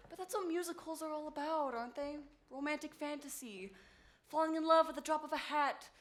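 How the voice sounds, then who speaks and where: A woman's voice: sits high, moderate pace, slight background noise, lightly acted. A woman playing a wry, wistful musical-theatre fan who narrates in asides to the audience during a stage show. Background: loud music.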